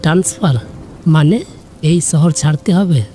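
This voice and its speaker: A tender young man full of longing, played by an actor in a romantic audio drama. His voice is dark and mellow, gentle and hesitant, with music playing behind it. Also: a little high, normal speed, lightly acted. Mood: hurt, hesitant. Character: tender, longing young man, nameless coffee-shop companion.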